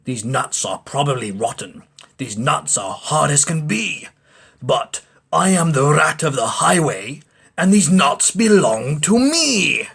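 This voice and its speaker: Man putting on a lively, bullying highwayman rat, husky. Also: exaggerated voice. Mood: menacing, scornful. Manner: scornful, bellowing, drawn-out commands. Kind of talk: storybook character voice.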